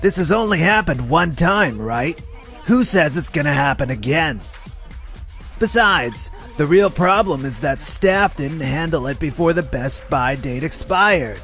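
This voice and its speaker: A man's voice: a little high, lively intonation, unhurried, fairly bright tone, faint music behind. A man voicing a smug, defensive supermarket executive in a comic dub, with a dismissive manner. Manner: dismissive, self-justifying. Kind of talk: dubbed comic voice acting.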